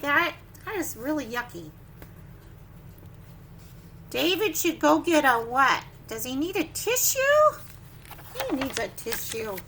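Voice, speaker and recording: A woman teacher doing an animated story time for young children, sing-song and exclaiming, often putting questions to them. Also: high voice, slight background noise.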